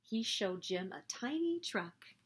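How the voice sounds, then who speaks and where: A woman hosting a story time, reading a picture book aloud in an expressive, animated way meant for young children. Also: mid-range voice, slow pace, breathy edge.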